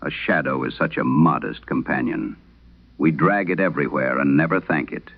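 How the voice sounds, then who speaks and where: Deep, gravelly old priest exorcist played by an actor. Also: male voice, heavily acted. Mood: haunted, solemn. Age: older. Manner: solemn, measured, confessional storytelling. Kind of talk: dramatic first-person horror radio monologue. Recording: dull lo-fi vintage radio sound.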